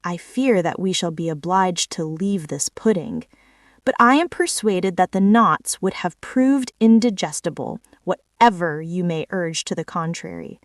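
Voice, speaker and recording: A woman's voice: on the low side, lively intonation, measured pace, no room sound, clean studio sound, recorded from a distance. Woman playing a pompous, fussy male rat. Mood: grave, pompous. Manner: pompous, wordy, formal.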